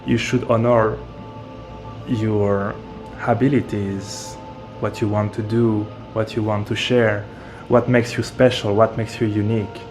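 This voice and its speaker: A man from France, a guest speaking in a slow, hesitant way and searching for his words. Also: lowish voice. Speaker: interview guest, medium and author. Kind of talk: casual interview talk.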